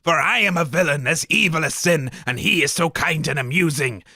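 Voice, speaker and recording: A man's voice: on the high side, fairly quick, bright, forceful tone, dry recording, studio quality, exaggerated voice. A man voicing an evil, scheming pirate captain in rhyming verse. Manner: rhyming villain speech.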